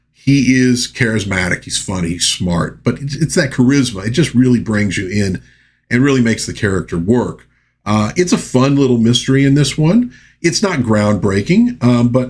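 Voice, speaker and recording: A man's voice: fairly low pitch, husky. A man hosting a movie review, recapping and commenting in a conversational, informal and folksy way. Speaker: film review host.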